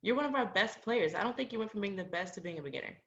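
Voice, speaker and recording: A young actress playing an upbeat, supportive teammate in a drama, speaking encouragingly. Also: female voice, mid-range voice, animated, rapid-fire, lightly acted. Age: young adult. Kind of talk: drama dialogue. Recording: dull, slightly lo-fi audio.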